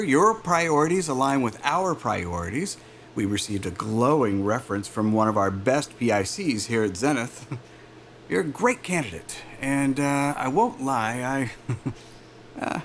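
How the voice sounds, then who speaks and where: An actor playing an affable, chatty male corporate interviewer in an audio drama, jovial and smooth, with hesitant asides. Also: medium pitch, a bit bright, slight background noise. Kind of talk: naturalistic audio drama acting.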